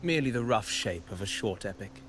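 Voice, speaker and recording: An actor playing a humble, cryptic poet in a video game, speaking in measured, ornate, theatrical phrases. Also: male voice, lowish voice, animated, a bit bright, slight background noise. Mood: solemn, gentle. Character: male poetic bard narrator, humble, cryptic, courteous. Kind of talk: video game character dialogue.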